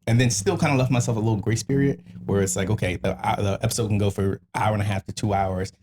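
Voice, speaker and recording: A man's voice: fairly low pitch, fairly even intonation, quick pace, a little mellow. A man hosting a podcast in casual, slangy chat, swearing and joking as he talks. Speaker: podcast host.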